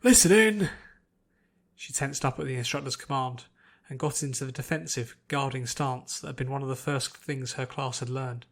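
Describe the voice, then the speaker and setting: A man's voice: mid-range voice, flat delivery, a little fast, gravelly, fairly bright tone. A man narrating a scripted fantasy story as an audiobook reader.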